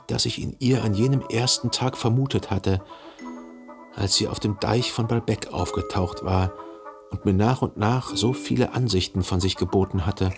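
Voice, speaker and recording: An actor playing a brooding, melancholy man who recalls a dead lover in reflective, measured radio drama narration. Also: lowish voice, natural voice. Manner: reflective, measured, analytical monologue.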